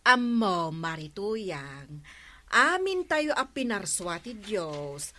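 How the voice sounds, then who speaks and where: Sensible village woman, slow lilting delivery. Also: mid-range voice, very animated, pushed and bright, exaggerated voice. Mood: chiding. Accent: Ilocano. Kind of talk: radio comedy drama acting.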